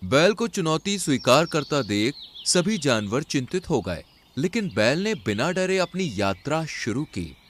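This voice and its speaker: A man's voice: fairly high pitch, big pitch swings, unhurried, a little hiss or hum. A man narrating an animal fable in a dramatic storytelling style.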